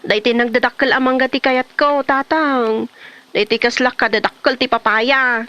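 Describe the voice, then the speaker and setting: A woman's voice: sits high, fairly even intonation, moderate pace, bright, forceful tone, clear, resonant tone, no room sound, muffled recording. A woman playing a plaintive pregnant wife who is fed up with her lazy husband in a comedy drama. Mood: complaining.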